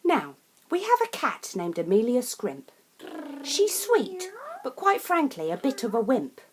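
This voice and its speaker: A woman reads a rhyming children's picture book in a theatrical, deliberate and emphatic way. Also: sits high, huge pitch swings, slight background noise. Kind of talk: expressive rhyming storybook narration.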